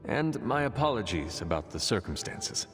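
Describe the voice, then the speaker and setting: An actor playing a stoic, courteous warrior in a video game, speaking in a formal, measured way. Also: male voice, on the low side, very animated. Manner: formal, measured, avoids contractions. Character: stoic, formal male demon-hunting warrior, fearless and courteous. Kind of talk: video game cutscene acting. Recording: long reverb, faint music behind.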